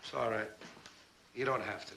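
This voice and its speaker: Gravel-voiced sad sack. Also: male voice, lowish voice, fairly even intonation, normal speed, bright, forceful tone, slight background noise. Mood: mournful. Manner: plaintive, self-pitying. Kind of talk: 1960s sitcom acting.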